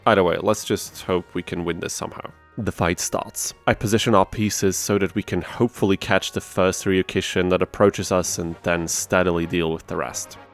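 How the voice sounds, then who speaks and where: A man's voice: deep voice, steady tone, normal speed, hardly any pauses. A man hosting a gaming video, narrating a challenge run from a script with conversational, self-deprecating asides. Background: music under the voice.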